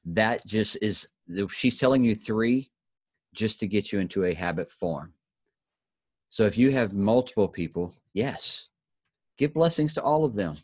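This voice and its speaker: A man's voice: fairly low pitch, expressive, unhurried, a little mellow, no echo. A man speaking as the host of an inspirational group, giving folksy, encouraging motivational talk.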